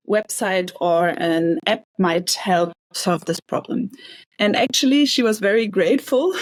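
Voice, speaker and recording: A young woman from Hamburg explains her team's project in a relaxed, chatty manner. Her voice has a middle pitch, and the recording is dry and close. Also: dry recording. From Hamburg, Germany. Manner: relaxed, chatty, light laughs. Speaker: bootcamp graduate presenting project. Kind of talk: casual project presentation.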